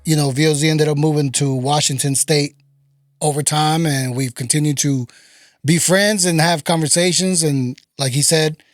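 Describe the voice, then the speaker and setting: A man hosting a podcast, talking in a relaxed, conversational way. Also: on the high side, moderate pace, dry recording, clean studio sound, soft background music. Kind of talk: casual podcast chat.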